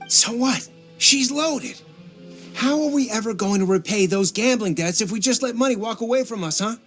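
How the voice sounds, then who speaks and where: An actor playing a greedy, bullying street thug boss with a smarmy, pressuring manner in an English game dub. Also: male voice, sits high, moderate pace, soft background music, lightly acted. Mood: smarmy, menacing. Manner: smarmy, pressuring, stammers when cornered.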